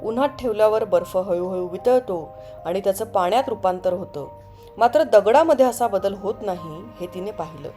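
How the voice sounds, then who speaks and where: A woman narrating an educational film from a script in a measured, explanatory way. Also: medium pitch, mellow. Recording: music under the voice.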